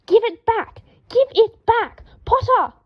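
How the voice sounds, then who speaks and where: A woman's voice: lively intonation, slow pace, exaggerated voice. A woman voicing a vain young man who howls and shrieks over his ruined clothes. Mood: furious. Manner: howling, shrill outbursts. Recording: dull lo-fi home recording.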